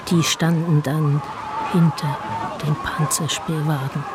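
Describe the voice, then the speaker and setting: An actor playing a sober chronicler of deportations, narrating in a scripted radio play. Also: male voice, fairly high pitch, level tone, takes it slowly, heavy background noise.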